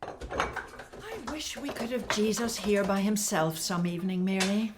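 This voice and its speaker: A woman's voice: mid-range voice, animated, unhurried, noisy background, close to an everyday voice. A woman playing a fussy, overworked, resentful housewife in a radio play, complaining in a flustered way. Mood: fretful.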